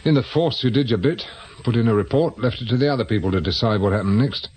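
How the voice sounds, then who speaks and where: A man's voice: mid-range voice, fast talker, a little mellow, husky, natural voice. A British man acting in a radio drama as a world-weary, sardonic private investigator who used to be a policeman. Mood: reflective. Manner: dry, sardonic. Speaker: actor.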